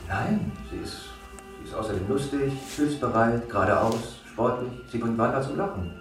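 A man's voice: mid-range voice, moderate pace, lightly acted. Man as a sceptical father, echoey and distant. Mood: defending, unimpressed. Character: sceptical, fair-minded father. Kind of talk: children's radio play acting. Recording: very echoey, distant, music behind.

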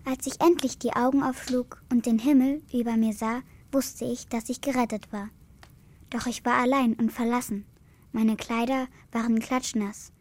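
Earnest young girl, high-voiced, played by a female. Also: fairly even intonation, moderate pace, darkish tone, dry recording, close to an everyday voice. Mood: absorbed, reading aloud. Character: earnest young schoolgirl, bright and eager granddaughter. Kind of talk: radio play acting.

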